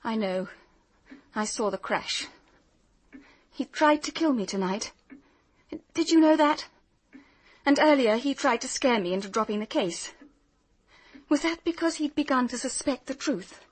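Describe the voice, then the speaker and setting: An actress playing a determined, earnest young private detective in a radio drama, direct and accusing. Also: female voice, a little high, expressive, measured pace, long pauses. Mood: probing.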